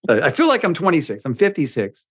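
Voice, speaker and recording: A man's voice: a little high, wildly swooping pitch, quick pace, fairly bright tone, breathy edge, dry recording, clean studio sound. A man in his fifties hosting an interview podcast, friendly and self-deprecating, with short affirming replies as he asks questions. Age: 50s. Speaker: podcast host. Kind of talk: casual interview chat.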